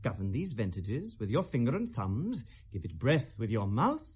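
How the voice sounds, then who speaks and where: A mocking young shakespeare prince, british, husky, pitch swings all over, radio play. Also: male voice, sing-song. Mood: accusing, biting. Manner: theatrical, sardonic, quick shifts from quiet to outburst.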